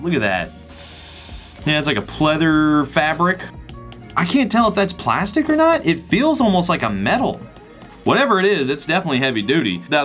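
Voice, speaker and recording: Male gadget reviewer, higher-pitched and very animated, chatty and enthusiastic, music behind him. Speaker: host of gadget unboxing videos. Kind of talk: casual product review to camera.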